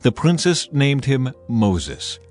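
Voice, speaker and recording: A man reading scripted Bible narration in a measured, expressive storytelling manner. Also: mid-range voice. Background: background music.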